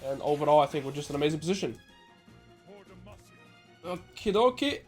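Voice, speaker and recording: A man from the Netherlands, sounding nasal and a little sick, giving brisk gameplay commentary as a coach with joking asides. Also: fairly high pitch, animated, faint music behind. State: slightly sick. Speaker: game coach.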